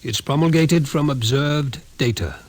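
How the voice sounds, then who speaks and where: An actor playing a grave, middle-aged doctor and scientist in a radio drama, speaking deliberately and with ominous authority. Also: male voice, medium pitch, natural voice. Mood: grave explaining. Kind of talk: classic radio drama acting. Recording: noisy old radio recording with clicks.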